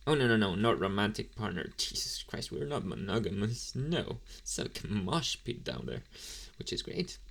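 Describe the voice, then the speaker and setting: A man's voice: lowish voice, very animated, moderate pace, gravelly, fairly bright tone, husky, heavily acted. A man playing an inexperienced young male demon intern in an ASMR roleplay, chatting in a casual, hesitant, apologetic way straight to the listener. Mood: offhand, amused. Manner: rambling, hesitant, casually chatty with slangy asides.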